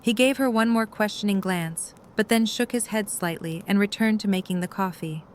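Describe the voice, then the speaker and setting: A woman's voice: medium pitch, normal speed, a little hiss or hum. A woman narrating a scripted story in an audio drama.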